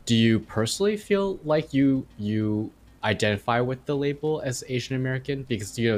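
A man co-hosting a podcast, asking questions carefully and gently. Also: fairly low pitch. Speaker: podcast co-host. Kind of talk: casual interview.

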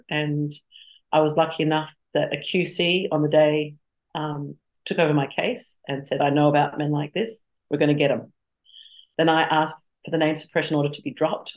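A woman's voice: sits low, darkish tone, rough recording. A middle-aged woman with an Australian accent, speaking frankly and reflectively as an interview guest. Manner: frank and reflective, laughs easily. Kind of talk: candid interview conversation.

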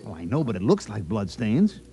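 A chatty village barkeep in 1950s radio comedy. His mellow voice hurries along, folksy and coaxing. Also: lowish voice, sing-song, fairly quick, vocal fry. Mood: eager. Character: chatty, eager village tavern keeper.

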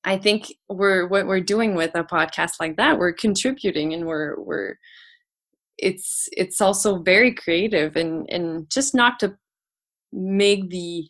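A woman's voice: lowish voice, unhurried, fairly bright tone. Hesitant woman singer chatting. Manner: hesitant, many restarts and laughs. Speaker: podcast guest, singer. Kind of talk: casual conversation.

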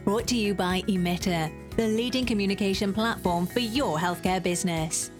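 A woman's voice: medium pitch, normal speed, music in the background. A woman reading a polished scripted intro to a podcast. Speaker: show announcer.

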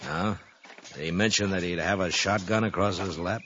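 An actor playing a calm, authoritative frontier marshal with a laconic, measured manner in 1950s radio western style. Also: male voice, deep voice. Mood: unhurried, probing. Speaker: lawman lead in a western drama. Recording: dull, noisy old radio recording.

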